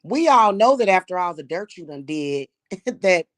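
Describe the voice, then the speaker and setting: A woman with a Missouri accent speaks in a fairly low voice, blunt and scornful as she talks straight to her viewers. Her tone is mocking and sure of itself. The sound is dry and close, with no room echo. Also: sing-song, moderate pace. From Kansas City, Missouri. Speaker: spiritual practitioner and channel host. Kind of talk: casual monologue to viewers.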